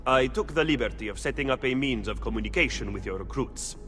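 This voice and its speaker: An actor playing a cool, formal strategist in a video game. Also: male voice, medium pitch, lively intonation, fast talker, fairly bright tone, lightly acted. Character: cool, formal male Italian strategist and adviser. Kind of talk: dramatic video game acting. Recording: long hall reverb, faint music.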